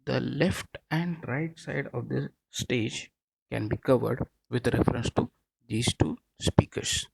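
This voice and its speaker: A man lecturing in an explanatory, halting way, repeating himself and often checking in with a tagged okay. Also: mid-range voice, creaky, slightly rough, rough recording. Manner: halting, repetitive, frequent okay as a tag. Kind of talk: explanatory technical lecture over slides.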